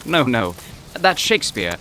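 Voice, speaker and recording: A man's voice: medium pitch, very animated, a little slow, pushed and bright, slight background noise. A man playing a gloomy, anxious poetry professor, earnest and formal, in an audio drama. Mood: uneasy, brooding.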